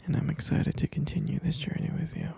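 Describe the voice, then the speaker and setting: Male actor as tender boyfriend for ASMR roleplay: breathy whisper, heavy gravelly creak, gentle and hesitant. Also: on the high side, little pitch movement, heavily acted. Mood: tender, reminiscing. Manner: slow, gentle, hesitant, pausing and repeating. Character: tender, devoted boyfriend soothing his pregnant partner.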